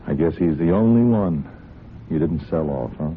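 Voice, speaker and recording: A man's voice: rumbling low, lively intonation, moderate pace. An actor playing a folksy police sergeant in a radio drama, hesitant and apologetic as he politely probes with mild questions. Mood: mild, probing. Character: folksy, polite but quietly probing male police sergeant. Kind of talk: old-time radio drama acting. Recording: muffled, hissy old radio drama recording.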